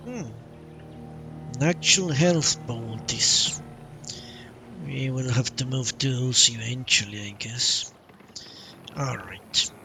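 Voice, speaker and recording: Bright, creaky-voiced man streaming a game. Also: medium pitch, slow, pauses often, soft background music. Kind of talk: live playthrough commentary with read-out dialogue choices.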